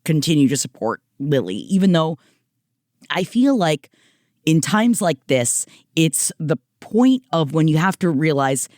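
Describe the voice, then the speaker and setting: A woman's voice: low voice, big pitch swings, unhurried, a little mellow, no room sound, clean studio sound. A woman co-hosting a casual podcast, supportive and chatty, rambling with restarts as she responds to a caller. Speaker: podcast co-host. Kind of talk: casual chat.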